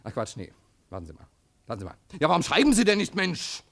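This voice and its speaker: An actor playing an excitable, bossy local newspaper editor in a German radio play, blustering and impatient, often stopping and starting as he thinks aloud. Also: on the high side, fast, creaky, heavily acted. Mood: brisk, searching.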